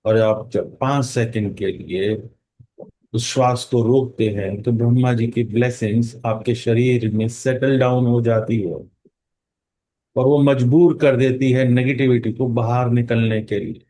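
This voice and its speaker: A man leading a guided meditation in a calm, instructing manner, with long pauses and drawn-out words. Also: mid-range voice, rough recording. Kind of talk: guided meditation in Hindi mixed with English phrases.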